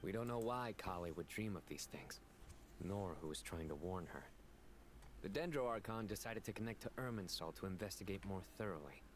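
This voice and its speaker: Game role: watchful man in charge, fairly low, composed and deliberate, faint noise. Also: animated. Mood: serious. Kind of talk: scripted game dialogue.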